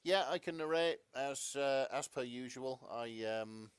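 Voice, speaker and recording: A man's voice: mid-range voice, a little slow, smooth, no room sound. A man co-hosting a podcast, chatting casually in a wry, somewhat hesitant way. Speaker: podcast co-host and narrator. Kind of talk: casual comedic podcast chat.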